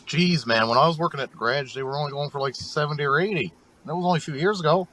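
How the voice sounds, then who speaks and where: A man's voice: a little high, rapid-fire, sharp tone, slight background noise, rough recording. A blunt man who swears often, chatting on a podcast from a parked vehicle. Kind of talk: casual podcast chat.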